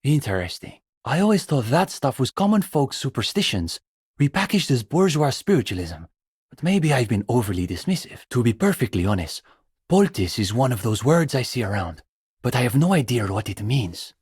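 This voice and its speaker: An actor playing an earnest young communist student intellectual in a video game, speaking in an articulate, pedantic way. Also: male voice, mid-range voice, very animated, normal speed, darkish tone, slightly rough, no room sound, clean studio sound. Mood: curious, probing. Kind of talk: video game dialogue acting.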